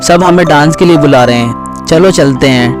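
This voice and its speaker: Man as an easygoing cartoon husband, fairly high male voice, polite, placating, music clearly behind. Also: normal speed, natural voice. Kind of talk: cartoon story dialogue.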